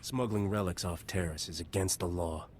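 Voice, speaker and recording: A wry, self-assured smuggler captain from a video game, acted by a man. The voice is very low and the lines are short and cocky. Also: fairly even intonation, normal speed, a little mellow, a little hiss or hum, close to an everyday voice. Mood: firm. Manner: terse, cocky.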